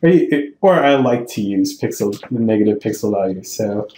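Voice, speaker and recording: A man's voice: lowish voice, lively intonation, normal speed, warm tone, roomy sound. A man teaching programming over a screen recording, thinking aloud and correcting himself as he explains each step. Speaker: programming tutorial host. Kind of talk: screen-recorded coding tutorial. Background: keyboard typing and clicks.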